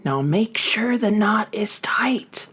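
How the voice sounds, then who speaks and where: A gentle, loyal snake from a picture book, played by a woman reader. He speaks slowly and calmly in a breathy hush. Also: medium pitch, pitched above the performer's own voice, exaggerated voice. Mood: calm, resolute.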